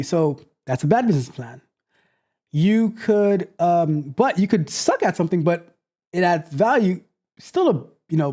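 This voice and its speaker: A man in his thirties hosting a casual livestream from a home studio on a close boom mic, rambling, repeating himself and joking. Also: mellow. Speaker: host, tech coach. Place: home studio, seated in gaming chair. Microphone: boom mic close to mouth.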